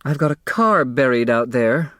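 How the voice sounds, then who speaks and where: A man's voice: medium pitch, expressive, moderate pace, fairly bright tone, no echo, clean studio sound, natural voice. A man voicing a breezy, oblivious, sociable middle-aged mother in an audiobook. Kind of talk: audiobook character dialogue.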